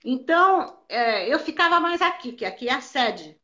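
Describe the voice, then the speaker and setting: An older Brazilian woman, a dance teacher, telling stories of her career in an animated way and repeating words for emphasis. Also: high voice, normal speed, muffled recording. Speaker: belly dance teacher, interviewee. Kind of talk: casual interview answers.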